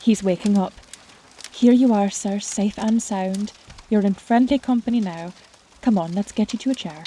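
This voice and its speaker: An actress playing a motherly, no-nonsense woman who takes charge, brisk and caring, in an audio drama. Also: medium pitch, warm tone, a little hiss or hum, close to an everyday voice, low-quality recording.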